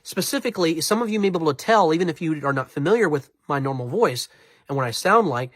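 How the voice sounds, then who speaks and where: A man with a slightly high, nasal voice, stuffed up from congestion. He talks straight to his viewers in an informal advice style, wandering and rewording as he goes. The sound is dry, with hardly any room in it. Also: lively intonation, quick pace, dry recording. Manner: rambling, self-correcting, repeats himself for emphasis. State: congested from allergies and sinus trouble. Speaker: voiceover artist giving advice.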